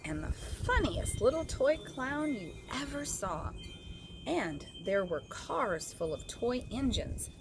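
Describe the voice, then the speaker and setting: A woman reading a children's story aloud as an expressive storyteller. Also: a little high, slow pace, loud music behind the voice.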